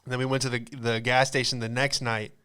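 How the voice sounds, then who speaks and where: A man's voice: medium pitch, little pitch movement, a little fast, bright, forceful tone, clean tone. A man in his late twenties with an American accent, a podcast host telling comic stories in a casual, slangy, rambling way. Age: late 20s. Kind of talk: comedic storytelling.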